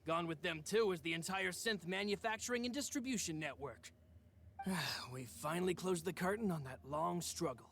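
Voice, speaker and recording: A somewhat high male voice for a video game. He is a composed gentleman aide with formal, measured phrasing. Light noise runs underneath. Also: gravelly. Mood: composed relief.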